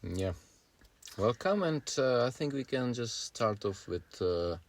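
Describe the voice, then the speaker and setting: A man in his forties with a Czech accent, a native Czech speaker, co-hosting a casual podcast and pausing often to find his words. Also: medium pitch, expressive. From Czech Republic. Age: 40s. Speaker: podcast co-host.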